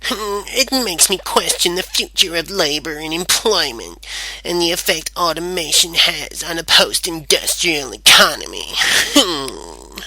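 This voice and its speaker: A man's voice: on the high side, big pitch swings, a little slow, pushed and bright, full character voice, poor audio. A man voicing a thoughtful, precociously intellectual young boy in a story. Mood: pondering.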